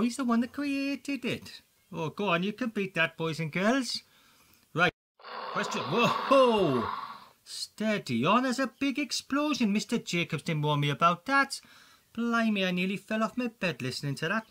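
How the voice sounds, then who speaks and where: Excitable Welsh man hosting a quiz. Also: fairly high pitch, vocal fry, breathy edge. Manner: chatty and excitable, with jokey asides. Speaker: quiz presenter for school pupils. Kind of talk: playful quiz commentary for children.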